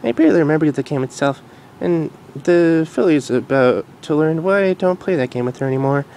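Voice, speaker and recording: Man voicing a high, gentle pegasus mare. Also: a little fast, creaky, slight background noise. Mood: grave. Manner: calm, explanatory, slightly cute. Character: gentle, knowing older mare from the future.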